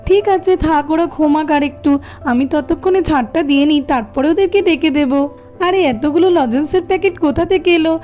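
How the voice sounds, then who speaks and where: A woman's voice: high voice, brisk, darkish tone, soft background music, natural voice. A woman voicing a caring mother who chats to herself while tidying, in a cartoon story. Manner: chatty, talks to herself. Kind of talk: cartoon voice acting.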